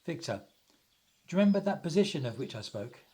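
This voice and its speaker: A man playing a loyal, practical young gentleman in a radio play, with an earnest, deliberate delivery. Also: fairly high pitch, very animated. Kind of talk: theatrical radio play acting.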